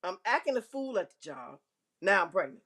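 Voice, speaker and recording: A woman hosting a live online show, telling a candid personal story to her viewers in a big, carrying voice, frank and self-mocking, often clearing her throat. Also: on the low side, very animated, a little fast, a bit bright.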